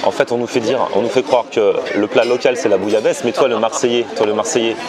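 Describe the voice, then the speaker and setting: A man's voice: mid-range voice, fairly even intonation, quick pace. A man hosting a food vlog in casual, chatty talk, laughing often at a restaurant terrace. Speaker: food vlogger host. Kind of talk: casual chat on camera. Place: busy outdoor restaurant terrace. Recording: loud crowd chatter behind.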